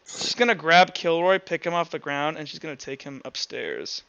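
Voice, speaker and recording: High, bright-voiced man running a game. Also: expressive, normal speed, vocal fry, no room sound, highs cut off. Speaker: game master. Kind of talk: improvised tabletop roleplay narration and rules talk.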